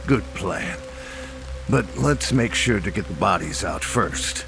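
A weary rural sheriff speaks slowly in a low, gravelly voice. He is grim and laconic in this horror audio drama, with prominent music under his lines. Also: exaggerated voice. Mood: grim, deadpan. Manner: laconic, deadpan. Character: grim, weary rural sheriff, matter-of-fact about monsters. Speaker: supporting character, sheriff. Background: rain and music.

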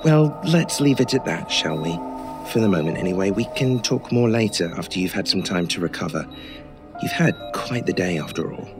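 An actor playing a polite, wordy, gently joking male hospital nurse in an old-time radio style horror drama, with a low voice. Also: animated, normal speed, music in the background. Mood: calm, reassuring.

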